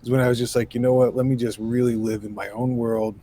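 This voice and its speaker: A man with a low, raspy voice talks as a podcast guest. He speaks in an animated, rambling way, with lots of "you know" and "like." He sounds like a relaxed man in his thirties chatting about his life. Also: fast. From New York State. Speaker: guest musician. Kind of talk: casual interview conversation.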